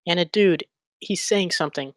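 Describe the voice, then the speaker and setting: A woman's voice: lowish voice, moderate pace, natural voice. A woman voicing a slangy, laid-back teenage boy in a script table read. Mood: casual.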